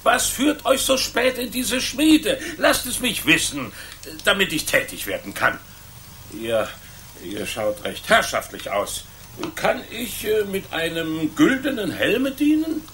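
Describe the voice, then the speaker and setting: An actor playing a proud but easily flustered town blacksmith who stammers in servile courtesy in a historical radio play. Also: male voice, high voice, sing-song, slow, pushed and bright, slight background noise, full character voice. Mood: obsequious, fussy. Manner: stammering, servile yet indignant.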